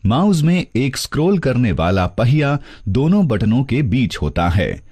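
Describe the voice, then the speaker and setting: A man reading scripted instructional narration, explaining things step by step in a measured teaching manner. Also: medium pitch, big pitch swings, darkish tone, husky. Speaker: narrator of a computer course.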